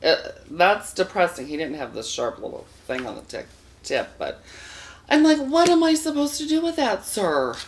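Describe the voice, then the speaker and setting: A man's voice: high voice, big pitch swings, normal speed, a little hiss or hum. A man hosting a comic storytelling show from a studio, telling a bawdy tale in a campy, sassy way and addressing viewers as boys and girls.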